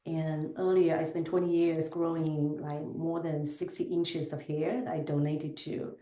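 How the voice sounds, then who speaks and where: A woman's voice: low-pitched, little pitch movement, a little slow, mellow, echoey room. A woman in her forties from southern China, speaking English with a Chinese accent as an interview guest, thoughtful and full of fillers. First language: Chinese dialect. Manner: thoughtful, with frequent fillers and self-corrections. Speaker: podcast guest. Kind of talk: casual interview conversation.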